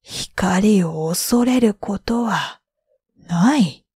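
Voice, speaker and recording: A woman's voice: medium pitch, expressive, extremely slow, a little mellow, slightly rough, no echo, clean studio sound, exaggerated voice. A woman voicing a willful old male star god in a bedtime storybook, speaking in a commanding way. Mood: solemn, commanding.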